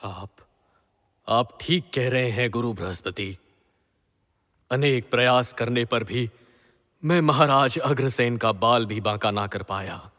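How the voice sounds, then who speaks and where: A man's voice: mid-range voice, fairly bright tone. An actor playing a proud, hot-tempered king of the gods in a mythological audio drama, with theatrical delivery. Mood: humbled. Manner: haughty, theatrical, slow declaiming. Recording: long hall-like reverb.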